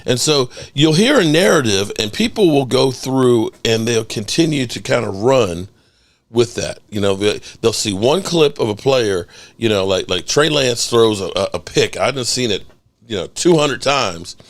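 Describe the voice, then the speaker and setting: A middle-aged man runs a sports call-in show, joking, cursing and talking with a lively, wide-ranging pitch. His voice sits at an average height and sounds dry and close, with no room around it. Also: a little slow, dry recording. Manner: animated, joking, swears freely. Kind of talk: casual call-in show talk.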